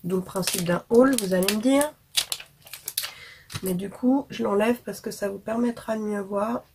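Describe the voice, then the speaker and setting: A woman vlogger, hoarse from being sick, chatting frankly and casually about cheap gadgets and makeup to her viewers with many fillers. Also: mid-range voice, moderate pace, background noise. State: hoarse from illness. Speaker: beauty vlogger. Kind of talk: casual haul chat to camera.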